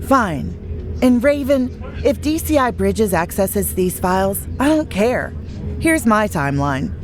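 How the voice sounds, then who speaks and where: A woman's voice: mid-range voice, sing-song, slow, faint music behind. A woman acting an exasperated, orderly ship's officer in a science fiction audio drama. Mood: defensive.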